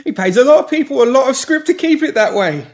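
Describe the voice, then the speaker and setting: Man acting a shrill, panicked lowlife pimp. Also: expressive, fast talker, a little mellow. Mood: desperate, babbling. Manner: breathless, desperate babbling. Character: seedy pimp, panicked and pleading, babbling in pain.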